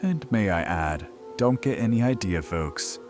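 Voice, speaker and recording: A man performs a late-night radio host of eerie stories, his voice very low. He is measured and wry, speaking in a scripted horror radio play over clearly audible music. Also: lively intonation. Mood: wry, probing. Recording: music under the voice.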